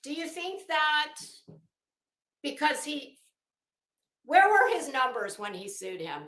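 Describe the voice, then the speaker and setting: Chatty gossipy lady host, kinda high voice, rambles on with strong opinions, echoey room. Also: big pitch swings, takes it slowly, a bit bright. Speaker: online commentary host. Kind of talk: casual opinionated commentary, rambling. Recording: roomy, echoing room sound.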